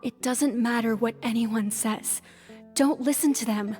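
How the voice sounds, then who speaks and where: A woman voicing a gentle, reassuring mother with a tender, soothing manner in a dramatic video game scene. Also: on the high side, measured pace, natural voice. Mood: tender, consoling. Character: gentle, reassuring mother of two young daughters. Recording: long reverb, music underneath. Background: music.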